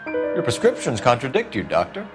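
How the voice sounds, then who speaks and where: An actor with an American accent playing a brisk, courteous, probing police detective in a naturalistic video game style. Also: male voice, medium pitch, wildly swooping pitch, over music.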